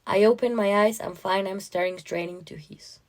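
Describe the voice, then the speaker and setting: A woman narrating a first-person literary story in an expressive, dramatic way. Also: medium pitch, moderate pace.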